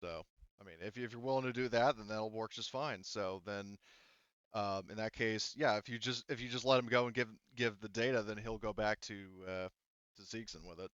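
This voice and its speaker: Low, clear-voiced man, halting game master. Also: fairly bright tone, no room sound, muffled recording. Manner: halting, self-correcting. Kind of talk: casual game narration and rules talk.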